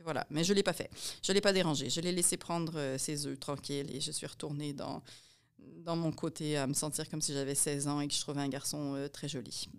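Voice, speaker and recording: Quebec french woman rambling funny stories on her podcast, lowish voice, clean and smooth. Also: fairly quick. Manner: chatty, self-mocking, animated. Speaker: podcast host. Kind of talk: casual storytelling monologue.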